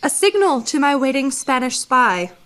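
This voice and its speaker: A haughty, scheming military officer acted by a woman in a radio play. The voice sits fairly high and speaks in formal, pompous phrases. There is smug self-importance throughout. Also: very animated, normal speed, fairly bright tone. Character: haughty, scheming army commander, vindictive and smug. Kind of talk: radio theatre acting.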